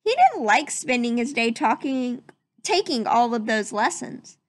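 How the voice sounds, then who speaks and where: Animated, high-pitched woman reading a storybook. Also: a little slow, dry recording. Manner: expressive, dramatic storytelling. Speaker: storybook reader. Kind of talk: scripted children's story narration, read aloud.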